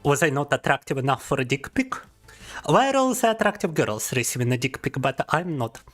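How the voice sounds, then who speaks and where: A young man chats on his livestream, playful and teasing as he answers chat. His voice sits at an ordinary middle pitch. Faint music plays quietly underneath him. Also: big pitch swings, brisk. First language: Russian. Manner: playful and teasing, reads chat aloud and laughs often. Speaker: VTuber streamer.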